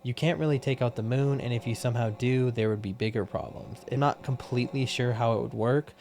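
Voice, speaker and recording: A man's voice: medium pitch, fairly even intonation, brisk, a little mellow. A young man giving a scripted countdown commentary in a casual, blunt way, swearing now and then for emphasis. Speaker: YouTube commentator.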